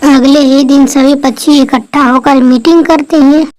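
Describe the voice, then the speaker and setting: A woman's voice: high-pitched, fairly even intonation, normal speed, a little mellow, no echo. A woman narrating a scripted children's story.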